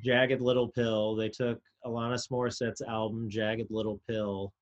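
A man's voice: fairly low pitch, level tone, normal speed, highs cut off. Chatty, opinionated man in conversation. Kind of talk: casual conversation.

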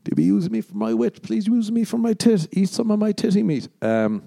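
A young Irish man hosting a podcast, rambling and swearing as he jokes, jumping into high squeaky voices for the punchlines. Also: big pitch swings, brisk, gravelly, dark tone. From Ireland. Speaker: podcast host. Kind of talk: casual comedic monologue.

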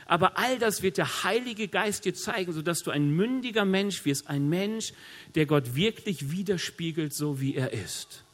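A middle-aged man with a cold, preaching a sermon in a lively, conversational way with joking asides. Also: high-pitched. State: has a cold. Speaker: pastor. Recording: long hall reverb.

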